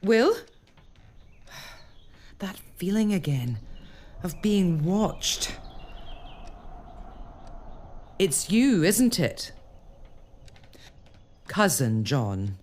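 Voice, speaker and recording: A woman's voice: fairly low pitch, sing-song, extremely slow, pauses often, darkish tone, full character voice. An actress playing a curious, determined lady of a castle in a period audio drama, probing and earnest. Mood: tense.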